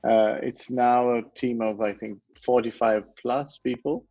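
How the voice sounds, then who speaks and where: A gravelly-voiced man in his forties from Sri Lanka, speaking as an interview guest. He rambles and corrects himself as he goes. The audio is dry and a bit dull. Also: mid-range voice, level tone, a little slow, warm tone, no echo. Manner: rambling, self-correcting, chuckles often. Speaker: guest, wealth management founder. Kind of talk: conversational interview.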